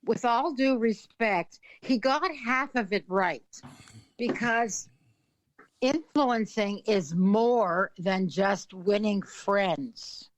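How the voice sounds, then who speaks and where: Slow-talking older Canadian woman guest. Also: medium pitch, no room sound, muffled recording. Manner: slow, thoughtful, many fillers and throat clearing. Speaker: author and influence consultant. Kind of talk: interview guest.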